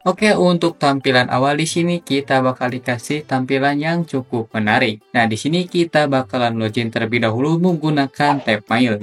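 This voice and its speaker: An Indonesian man presents an online tutorial in a casual, slangy way. He addresses viewers directly as he explains each step. His voice sits at an average male pitch, and music plays behind him. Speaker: YouTube tutorial host. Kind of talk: casual scripted tutorial narration.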